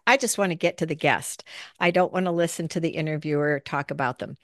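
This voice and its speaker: A woman hosting a casual podcast conversation, talking loosely with plenty of ums and pauses while she looks for words. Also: on the low side, fairly bright tone, no echo, studio quality. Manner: chatty, searching for words with many fillers. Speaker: podcast host.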